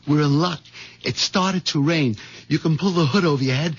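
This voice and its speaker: An actor playing a nervous, guilt-ridden husband with a hesitant, stammering manner in a 1970s radio drama. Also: male voice, fairly high pitch, moderate pace, breathy edge. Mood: tense, weary. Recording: dull vintage radio broadcast with music.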